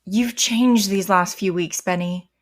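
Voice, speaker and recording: A woman's voice: mid-range voice, measured pace, lightly acted. A woman playing a protective, loving but stern mother in a naturalistic audio drama. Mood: tender.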